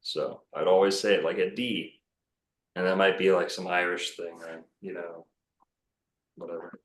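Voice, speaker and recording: A man's voice: sits low, flat delivery, normal speed, echoey room. A man talking casually as a podcast guest, rambling and self-assured with many fillers and restarts. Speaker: podcast guest, bookstore owner.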